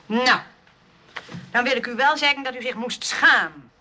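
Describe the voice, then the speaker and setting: A woman playing a prim, moralising but soft-hearted nursing sister in a radio play, earnest and fretful. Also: on the high side, normal speed, slight background noise. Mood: stern.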